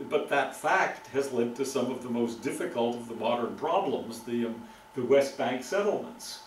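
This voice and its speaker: A man's voice: mid-range voice, far from the mic, a little hiss or hum. Older man lecturing in an echoey room. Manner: thoughtful, conversational, with frequent ums. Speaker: guest lecturer. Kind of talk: relaxed lecture talk. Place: meeting room with green walls. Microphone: far camera.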